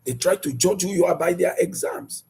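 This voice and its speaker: A man preaching a sermon emphatically, repeating rhetorical questions and jumping into sudden high bursts of emphasis. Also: moderate pace, vocal fry, darkish tone, slightly rough.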